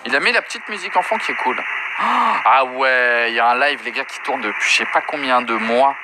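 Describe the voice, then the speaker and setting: A man's voice: medium pitch, fairly quick, low-quality recording. A young man doing casual, slangy reaction commentary as a video host, chatting to his viewers. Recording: loud music behind the voice.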